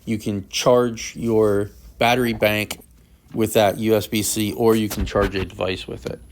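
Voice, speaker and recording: A man's voice: lowish voice, little pitch movement, measured pace, slight background noise. Matter-of-fact man reviewing a product. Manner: plain, matter-of-fact step-by-step explaining. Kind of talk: explanatory unboxing review narration.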